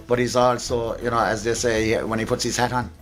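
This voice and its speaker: Irish man chatting, middling pitch. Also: flat delivery, fairly quick, soft background music. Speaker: amateur radio drama actor being interviewed. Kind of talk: casual interview answers.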